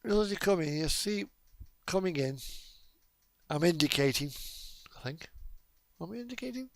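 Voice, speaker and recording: High-pitched, animated man chatting casually. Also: normal speed, creaky, dry recording. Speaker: video game streamer. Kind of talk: casual gaming chat.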